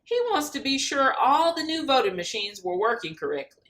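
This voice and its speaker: Lively, bright-voiced woman reading to children. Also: high-pitched, brisk. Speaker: reader of a children's picture book. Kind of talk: expressive read-aloud for children.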